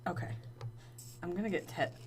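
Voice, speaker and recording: A young adult woman co-hosting a podcast, chatting casually over drinks, playful and quick to laugh. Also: slight background noise. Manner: giggly, playful, laughs often. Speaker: podcast co-host.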